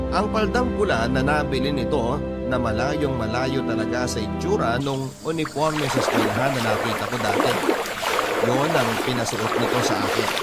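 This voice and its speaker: A man narrating a scripted first-person story as a radio storyteller, in a sentimental, reflective manner. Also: medium pitch. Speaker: radio storyteller reading a letter.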